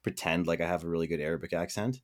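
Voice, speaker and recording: A man's voice: low-pitched, steady tone, very fast. A man with a Canadian accent joining a podcast as a guest, tired, rambling and self-deprecating with laughs. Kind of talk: casual podcast chat.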